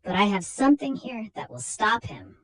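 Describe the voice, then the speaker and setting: A woman's voice: medium pitch, sing-song, moderate pace, voice pushed up. A woman voicing a commanding, knowing male doctor who hunts a vampire in a storybook reading, with emphatic, declamatory delivery. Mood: knowing resolve.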